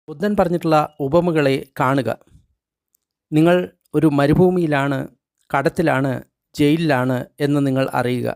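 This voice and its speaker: A man with a Malayali accent leads a guided meditation in a somewhat high voice. He gives instructions in short, separated phrases, as if reading from a book. The sound is dry and close, with little room echo. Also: creaky, darkish tone. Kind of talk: guided meditation instruction, read and translated aloud.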